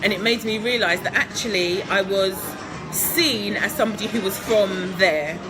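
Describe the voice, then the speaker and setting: A woman's voice: medium pitch, moderate pace, music in the background, rough recording. A woman from England, answering interview questions in a chatty, upbeat way, laughing often and filling with ums. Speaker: guest entrepreneur.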